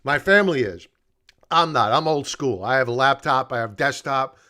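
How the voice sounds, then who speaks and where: A man's voice: mid-range voice, normal speed, bright, forceful tone. Middle-aged man hosting a live stream. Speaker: live stream host, stock market analyst. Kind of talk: unscripted live commentary, chatting to viewers.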